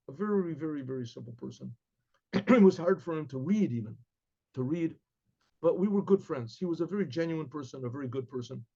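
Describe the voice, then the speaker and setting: An older man with an American accent telling a story in a casual class, rambling, repeating words and clearing his throat often, on a webcam in a study lined with books. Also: a little high, dark tone, muffled recording. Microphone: webcam at desk.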